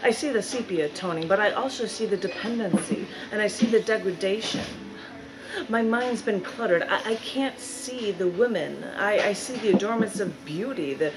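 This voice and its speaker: A woman giving a live spoken-word poetry reading in a bookstore, phrasing dramatically and deliberately with drawn-out emphasis. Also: mid-range voice, rough recording. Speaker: poet reading own work.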